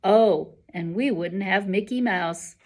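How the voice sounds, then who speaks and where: A woman's voice: mid-range voice, slow pace, darkish tone. A woman voicing an encouraging, earnest male schoolteacher from a storybook, addressing a class with emphatic rhetorical questions. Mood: warmly emphatic.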